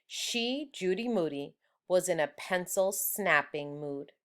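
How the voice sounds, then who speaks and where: A woman reading a children's story aloud in a deliberate, expressive storyteller's manner. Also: on the low side. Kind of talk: children's book read-aloud.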